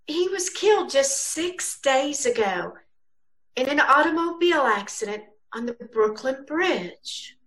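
Lady acting as a solemn polite woman on the phone in an old radio play, very lilting, slow and formal. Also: high-pitched, very animated, fairly bright tone, full character voice, low-quality recording. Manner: measured, formal, deliberate. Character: solemn, polite woman answering a household telephone.

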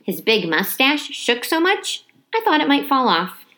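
A woman reading a children's book aloud as scripted, expressive narration. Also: sits high, a little slow. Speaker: storybook reader.

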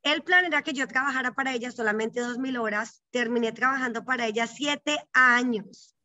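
A woman's voice: a little high, normal speed, sharp tone, no room sound. A middle-aged woman, a psychologist and banking executive, telling her life story as an interview guest in an earnest, reflective way, often checking in with short tag questions. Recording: dull, dry, slightly lo-fi.

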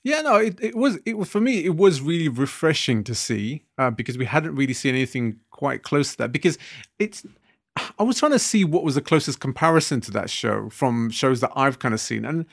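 A young man with a gravelly, creaky edge to his voice talks through his opinions on a podcast. He meanders, restarting sentences and piling up fillers as he works out his point, in a mid-range pitch. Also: very animated, fairly quick. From Britain. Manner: rambling, thinks aloud with many restarts and fillers. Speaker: podcast co-host. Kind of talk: casual podcast discussion.

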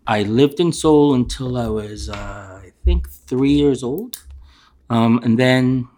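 A man from New York by way of Korea and England, giving halting, reflective answers as an interview guest. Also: medium pitch, expressive, warm tone. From born in Korea, raised in England and New York.

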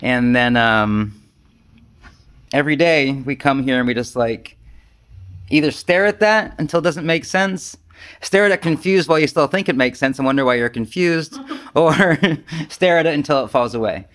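A man's voice: mid-range voice, normal speed, a bit bright. A man speaking as a Zen teacher in an informal dharma talk, with a self-deprecating, rambling manner.

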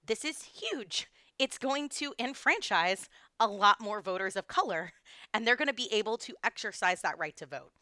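A woman from Texas co-hosting a history podcast, telling the story in a lively, explanatory way. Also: medium pitch, moderate pace, no echo, clean studio sound. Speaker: podcast co-host.

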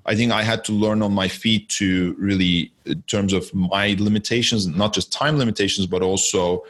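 A man's voice: fairly low pitch, fairly even intonation, normal speed, fairly bright tone, husky. A man in his forties from Turkey, a startup founder telling his story as an interview guest, reflective and often correcting himself mid-sentence. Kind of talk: conversational interview.

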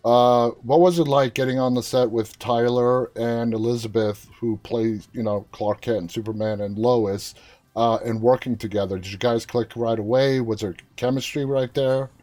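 A man's voice: mid-range voice, steady tone, normal speed, a little mellow. A man hosting a live interview, asking questions in a casual, conversational style. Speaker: interview host.

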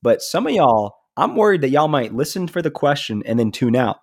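A man's voice: medium pitch, big pitch swings, fairly quick, dry recording, studio quality. A man co-hosting a casual podcast, sounding nasal from a stuffy nose, upbeat and easygoing. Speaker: podcast co-host, student ministry leader.

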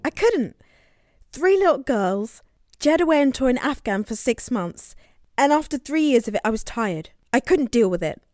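A young English mother and protective big sister in a naturalistic radio play. Her voice sits high and moves widely in pitch. She is blunt and emphatic, exasperated but fiercely loyal, often repeating herself for force. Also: big pitch swings, normal speed, a little mellow, dry recording, studio quality. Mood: exasperated, firm. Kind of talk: naturalistic audio drama acting.

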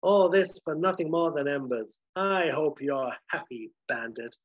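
Guy as a cocky movie cowboy, bold, theatrical, raised husky voice. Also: a little high, unhurried. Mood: bitter. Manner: bold, declamatory. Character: cocky, theatrical male cowboy film hero. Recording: roomy, dull lo-fi recording.

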